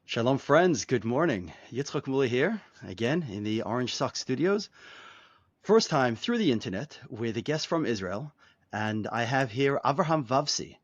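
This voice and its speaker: Halting man hosting a podcast. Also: mid-range voice, sing-song, muffled recording. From Australia. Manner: halting, restarts questions, repeats words. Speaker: podcast host. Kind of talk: conversational interview.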